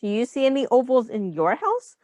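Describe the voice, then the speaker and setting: A woman reading a picture book aloud to young children as a teacher, explaining simply and pausing to ask questions. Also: on the high side, very animated, darkish tone, no echo.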